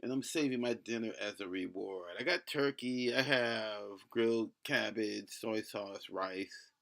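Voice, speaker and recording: A middle-aged man with a lisp talks in a bright, forceful voice edged with gravelly creak. He rambles through a blunt video-blog rant, digressing, cursing and restarting his thoughts. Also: on the low side, measured pace. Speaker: solo video blogger. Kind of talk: rambling opinion rant to camera.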